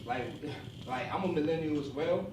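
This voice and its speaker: A man's voice: fairly high pitch, normal speed, background noise. Earnest young man speaking from a distance. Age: 20s. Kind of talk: speaking to a university group.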